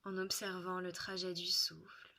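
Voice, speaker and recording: A woman leading a guided relaxation in French, soothing and unhurried, with soft, breathy phrase endings and long pauses. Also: fairly low pitch, level tone.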